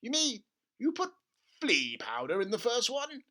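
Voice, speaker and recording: A storybook reader voicing an excitable, impatient middle-aged father with an urgent, exclaiming manner. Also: medium pitch, measured pace, pitched above the performer's own voice, exaggerated voice. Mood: urgent dismay. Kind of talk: children's storybook character voice.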